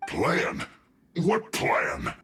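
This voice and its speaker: An actor playing a defensive, sheepish enemy soldier in a video game, with halting, drawn-out speech. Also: male voice, mid-range voice, huge pitch swings, fairly bright tone, roomy sound, full character voice. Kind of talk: scripted video game acting.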